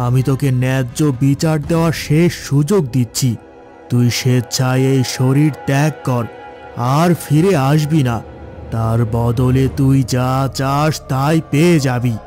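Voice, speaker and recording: A man's voice: medium pitch, unhurried, warm tone, faint music behind, close to an everyday voice. A man voicing a stern, commanding village cleric who drives out spirits, solemn and authoritative, in a horror cartoon. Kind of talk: dramatic horror cartoon acting.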